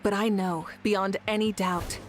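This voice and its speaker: A woman's voice: mid-range voice, fairly even intonation, a little hiss or hum, natural voice. A woman playing a poised, courteous young ruler. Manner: polite, measured, a little awkward. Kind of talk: video game voice acting. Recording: game audio with faint music.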